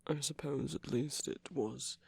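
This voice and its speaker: A man playing a gentle, wry villain who uses magic, speaking softly and haltingly in an intimate ASMR roleplay with a breathy, husky voice. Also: mid-range voice, fairly even intonation, dry recording. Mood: wistful, confiding. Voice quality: breathy, husky, slightly creaky. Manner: measured, halting, hushed delivery with pauses. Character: gentle, wry villain magic user comforting a loved one.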